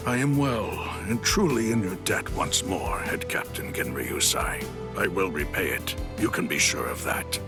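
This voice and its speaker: An actor playing an honorable, loyal warrior captain in an anime game, speaking in a grave, formal, earnest and sorrowful way. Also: male voice, deep voice, animated, measured pace, hardly any pauses, over music. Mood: grateful, resolute. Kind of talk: scripted anime game cutscene dialogue.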